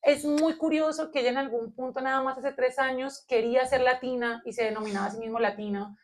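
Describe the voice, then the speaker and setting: A woman with a Colombian accent and a fairly high voice co-hosts a round-table on philosophy. She talks in a lively, searching way, thinking aloud and reaching for her ideas with plenty of fillers. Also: a little fast. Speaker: podcast co-host.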